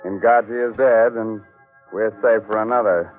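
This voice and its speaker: A low-voiced man hosts a 1940s radio mystery show, sly and mock-spooky. The old recording is muffled, with faint music behind him. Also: fairly even intonation, a little slow.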